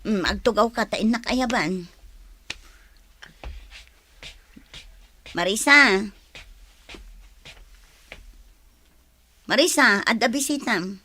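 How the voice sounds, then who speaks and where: A woman's voice: a little high, moderate pace, pushed and bright. A woman playing a caring, probing older sister in an Ilocano radio drama, with a Filipino accent. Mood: matter-of-fact.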